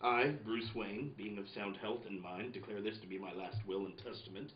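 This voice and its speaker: A man playing an earnest, puzzled investigator in a hammy old-time radio play, with a deliberate, questioning delivery. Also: on the low side, fairly even intonation, voice pushed down, natural voice. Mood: solemn reading. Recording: roomy, muffled, noisy live recording.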